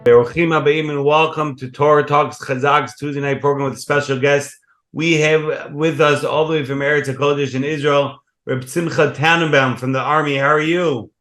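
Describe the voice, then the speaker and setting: A man's voice: on the high side, fairly even intonation, moderate pace, a bit bright, faint music behind. A man hosting a program, opening with formal welcomes and blessings and asking questions. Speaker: program host. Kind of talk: interview host.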